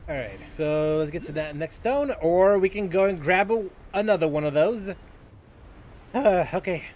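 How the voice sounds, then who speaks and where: A man's voice: high-pitched, lively intonation, moderate pace, a little mellow, slight background noise. A man giving casual gameplay commentary, thinking aloud and often repeating himself. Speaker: game streamer.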